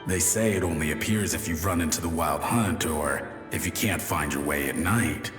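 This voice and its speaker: A man voicing the ghost of a lighthouse-keeping soldier in a video game, folksy and chatty when calm and barking frantic orders when alarmed. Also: a bass voice, moderate pace. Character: ghost of a male soldier lighthouse keeper, friendly then panicked. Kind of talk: game voice acting. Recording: echoey ghostly reverb. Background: game music.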